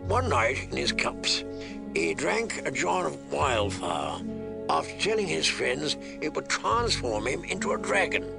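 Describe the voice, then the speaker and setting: Gruff old military commander played by a male reader, deep creak, blunt storytelling with lively swings. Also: a little slow, over music, exaggerated voice. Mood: blunt, wry. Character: gruff old male lord commander recounting family history. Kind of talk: audiobook character acting.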